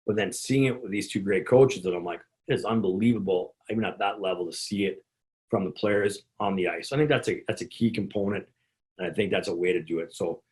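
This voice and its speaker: A man with a gravelly, slightly low voice explains conditioning to fellow coaches. He rambles, doubling up words and restarting sentences as he goes. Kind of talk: instructional talk to coaches.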